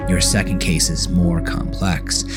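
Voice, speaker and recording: A man reading a scripted second-person horror story as narrator, in a measured, dramatic way. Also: sits low, level tone. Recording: voice over prominent background music. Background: music.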